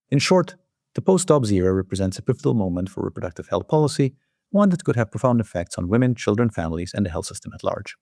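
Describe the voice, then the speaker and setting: A male host with a fairly low, gravelly voice and a quick pace. He speaks formally, as an interviewer reading introductions and putting questions to a guest. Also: darkish tone, dry recording, studio quality. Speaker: host and interviewer, journal editor.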